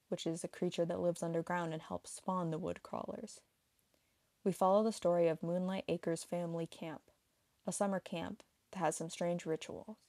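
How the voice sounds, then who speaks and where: Low, mellow woman narrating an explainer. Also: no echo. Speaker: narrator of an online video.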